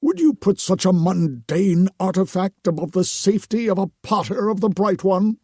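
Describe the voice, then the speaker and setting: A man's voice: animated, moderate pace, dark tone, slightly rough, no echo, studio quality, heavily acted. A man voicing a nervous, self-important male priest who talks in a fawning, pompous way. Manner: fawning and pompous, rising to shrill insistence.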